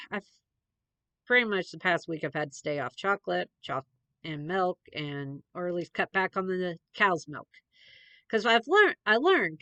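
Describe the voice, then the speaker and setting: A woman's voice: fairly low pitch, a bit bright, dry recording. A young adult woman, a little congested, chatting in a rambling, meandering way with many fillers as a podcast host. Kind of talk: rambling casual chat.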